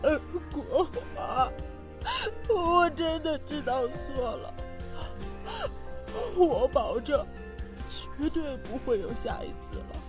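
A woman plays a submissive wife and mother in an audio melodrama. Her voice is high and her words come slowly and meekly, with prominent music behind her. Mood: desperate pleading. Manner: slow, imploring, meek. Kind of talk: melodramatic Chinese audio drama acting.

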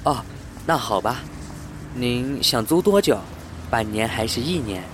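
A man's voice: mid-range voice, lively intonation, unhurried, background noise, close to an everyday voice. Man acting a polite, easily flustered building manager. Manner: courteous, hesitant, theatrically puzzled. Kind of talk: Chinese sci-fi radio drama acting and narration. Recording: roomy sound with music underneath.

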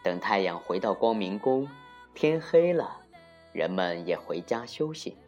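A woman narrating a folktale for children as an expressive storyteller, deliberate and with dramatic emphasis. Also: very deep voice. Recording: muffled lo-fi recording with music.

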